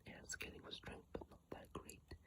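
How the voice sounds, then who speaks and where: An ASMR creator whispering breathily and softly into a phone mic, reading aloud with unhurried, hesitant casual asides. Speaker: ASMR video creator reading game descriptions. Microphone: iPhone microphone, close up.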